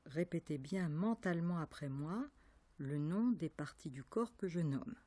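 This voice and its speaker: A woman leading a guided yoga nidra relaxation as an instructor, calm and measured, giving instructions in short, separate phrases. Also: sits low.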